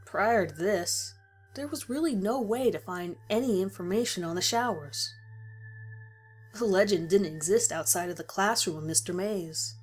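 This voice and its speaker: A woman's voice: medium pitch, normal speed, husky. A woman reading a first-person horror story as a dramatic scripted narration. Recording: music behind the voice. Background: music.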